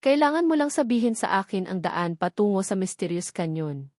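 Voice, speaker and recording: A woman's voice: medium pitch, big pitch swings, fairly quick, darkish tone, dry recording, studio quality, close to an everyday voice. A woman voicing a determined male master in a storybook, serious and insistent. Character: determined male master seeking a dangerous journey.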